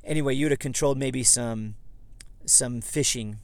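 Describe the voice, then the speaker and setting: A man from southeast Idaho with a slightly hoarse, froggy voice, talking as a podcast host in a rambling, self-correcting way. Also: medium pitch, moderate pace, no echo. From southeast Idaho, USA. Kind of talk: casual history podcast monologue. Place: inside a parked vehicle.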